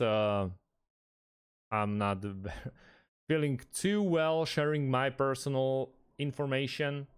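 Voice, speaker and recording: A man presenting a step-by-step screen tutorial, speaking haltingly with pauses mid-phrase. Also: mid-range voice, smooth.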